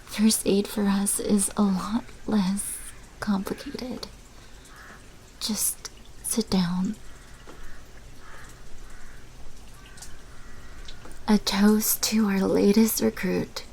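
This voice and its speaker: A woman voicing a sly female vampire mafia manager in an ASMR roleplay, mostly in a breathy whisper with slow, theatrical delivery. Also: medium pitch, little pitch movement, dark tone, a little hiss or hum, poor audio. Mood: wry, conspiratorial.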